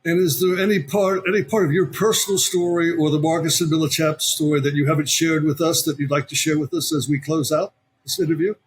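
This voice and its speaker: A man hosting an interview, asking formal questions in a polite, measured way. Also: on the high side, fairly even intonation, a little hiss or hum, low-quality recording. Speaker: interviewer, host.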